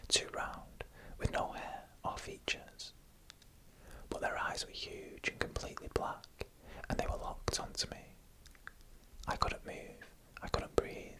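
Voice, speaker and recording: A narrator telling eerie stories in a breathy, unvoiced whisper, in deliberate short phrases for ASMR. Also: rough recording. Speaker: ASMR storyteller.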